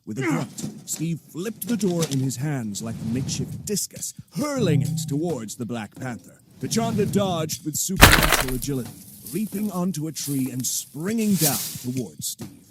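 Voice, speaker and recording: A man's voice: a little high, sing-song, moderate pace, hardly any pauses, loud music behind the voice. A man narrating an action audio drama from a script in a dramatic storytelling style.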